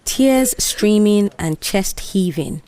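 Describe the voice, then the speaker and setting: A woman's voice: mid-range voice, lively intonation, takes it slowly, mellow, no echo. A woman with a Nigerian accent narrating a scripted audio drama.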